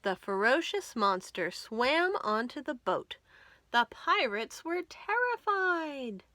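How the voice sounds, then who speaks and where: A woman reading a picture book aloud for young children, expressive and deliberate, with dramatic emphasis. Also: high-pitched, dry recording. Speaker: children's librarian reading storytime.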